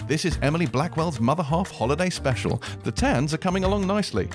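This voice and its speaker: A man's voice: a little high, lively intonation, fast. Upbeat man announcing over salsa music. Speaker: show announcer. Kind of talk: scripted promo read over music.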